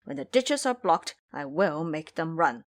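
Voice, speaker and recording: A woman's voice: deep voice, sing-song, unhurried, exaggerated voice. A woman voicing a boastful, lazy, lustful male pig monster in an audio drama, pleading and bragging as he talks.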